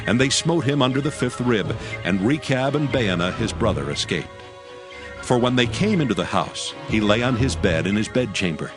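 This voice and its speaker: A man narrating a dramatized scripture audiobook in a solemn, measured storytelling manner. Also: mid-range voice, expressive, over music.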